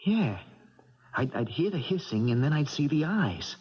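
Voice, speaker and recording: A man's voice: on the high side, very animated, a little slow, husky, heavily acted. An actor playing a sleepless, frightened young male boxer in a 1970s radio drama, stammering and groping for words. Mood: frightened, dawning dread. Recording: dull 1970s radio recording.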